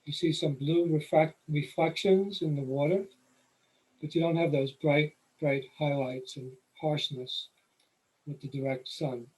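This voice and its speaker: A middle-aged man with a New York accent, explaining nature photography while walking through his slides in a relaxed, explanatory way. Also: a little high, a little slow, poor audio. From New York City. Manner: relaxed, explanatory, with occasional fillers. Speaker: photography presenter. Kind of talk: slide presentation lecture.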